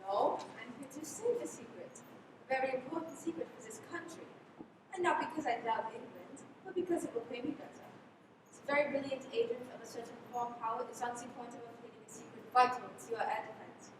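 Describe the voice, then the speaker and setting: A woman's voice: high-pitched, quick pace, lightly acted. A young woman playing a mysterious, intense foreign secret agent in a live radio play, dramatic and urgent. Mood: guarded, urgent. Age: young adult. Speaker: high school drama student acting. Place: live school performance, voice through a mask. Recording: echoey, noisy livestream from far off.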